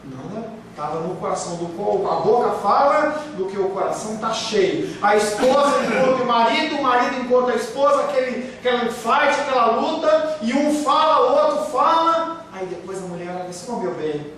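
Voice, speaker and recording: Brief: pastor, male, high voice, emphatic sermon delivery, distant mic. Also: expressive, moderate pace, few pauses, fairly bright tone. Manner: emphatic, rhetorical questions, acts out quoted excuses. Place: church hall. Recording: very echoey room, speaker far from mic, faint hiss.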